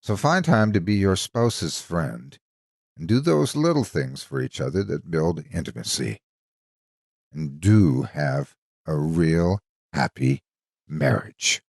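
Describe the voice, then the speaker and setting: A man with a low, deep voice narrates like a seasoned storyteller. His intonation moves widely and dramatically over measured, scripted phrases. The recording is dry and close, with very little room sound. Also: sing-song, vocal fry, darkish tone, dry recording. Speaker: story narrator and channel host.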